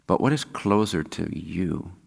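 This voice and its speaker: A man leading a guided meditation as a spiritual teacher, speaking calmly and unhurriedly with long pauses. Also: sits low, vocal fry, breathy edge. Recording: long hall-like reverb.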